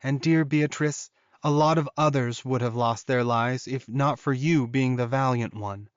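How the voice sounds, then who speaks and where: A man voicing a bright, friendly young female wolf-shifter in an audiobook, breezy, informal and teasing. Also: mid-range voice, measured pace, clear, resonant tone, dry recording, highs cut off, natural voice. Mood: warm, admiring.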